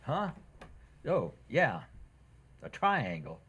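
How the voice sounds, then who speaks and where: Oblivious radio-comedy husband, played by a woman: very deep for a woman, husky, slow, dryly teasing. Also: wildly swooping pitch, full character voice. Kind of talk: old-time radio comedy acting.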